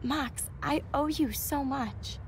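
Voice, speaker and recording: A woman acting a gentle, devout young student in a video game, fragile but hopeful, earnest and sincere. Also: high voice, slow, a little hiss or hum. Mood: earnest, reflective. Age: young adult. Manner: soft-hearted, earnest, sincere.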